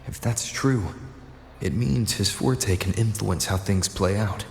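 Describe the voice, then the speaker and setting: An actor playing a composed young male game hero who reasons through his plans in a measured, serious way. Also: on the low side, vocal fry. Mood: grave, thoughtful. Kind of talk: scripted game voice acting. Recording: echoey, long reverb, music behind.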